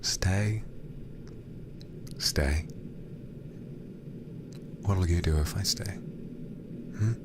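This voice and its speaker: A man's voice: low voice, drawn-out words, pauses often, background noise. A man playing a smug demon captor in an intimate ASMR roleplay, teasing and condescending as he drawls his mock-pitying taunts. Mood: teasing menace.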